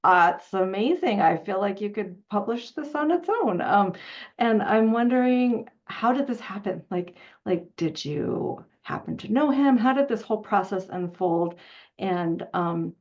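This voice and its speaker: A woman's voice: mid-range voice, expressive, dull audio. A woman interviewing in an effusive, admiring way, feeling out her questions as she talks. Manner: effusive, admiring, halting delivery. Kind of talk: enthusiastic interview conversation.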